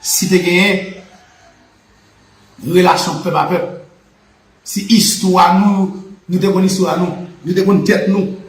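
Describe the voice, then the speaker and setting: A man's voice: high-pitched, steady tone, a little slow, pauses often, reverberant, poor audio. A Haitian man with a Haitian Creole accent, hosting a live political and history talk with a fervent, repetitive, preaching cadence. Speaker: channel host.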